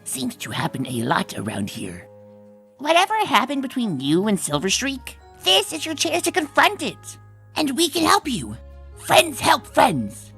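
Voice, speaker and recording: A woman's voice: high-pitched, huge pitch swings, unhurried, vocal fry, fairly bright tone, faint music behind, exaggerated voice. A woman voicing a brash, loyal, fiery female pony in a comic dub. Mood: pushy, encouraging. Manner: brash, emphatic. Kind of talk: comic dub character acting.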